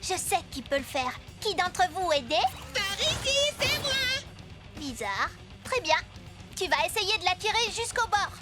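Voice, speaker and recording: A very high, bouncy young boy's voice commanding his robot team in a French cartoon dub. He speaks slowly and deliberately, with swooping pitch. Music runs clearly beneath the dialogue. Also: sing-song, heavily acted. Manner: bossy, quick commands. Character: eager young boy leading the robots.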